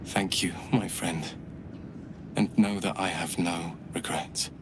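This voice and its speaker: A man's voice: deep voice, fairly even intonation, slow, noisy background. A man playing a weary, solemn old soldier in a video game, speaking earnestly and gratefully. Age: older. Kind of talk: video game dialogue acting. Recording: music behind the voice.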